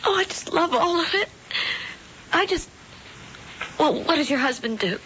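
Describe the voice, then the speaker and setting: A woman's voice: very high-pitched, animated, normal speed, fairly bright tone. An actress playing a sweet, trusting eighteen-year-old girl from a small Ohio town, in a 1970s radio drama. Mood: naive. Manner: sweet and trusting, easily charmed. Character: naive sheltered young country girl. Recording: dull old radio broadcast with faint hiss.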